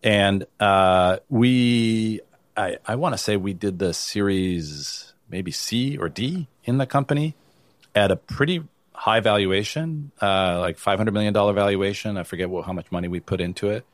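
A man from Italy answering interview questions in a low, loose conversational voice. He restarts phrases often and leans on "you know." The sound is dry and close. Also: normal speed, no room sound. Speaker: venture capital investor, guest.

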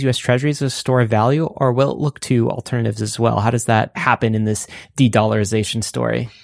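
Gravelly man hosting a podcast. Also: mid-range voice, a little mellow, no echo, studio quality. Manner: rephrases questions several ways. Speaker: podcast co-host, interviewer. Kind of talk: conversational interview questioning.

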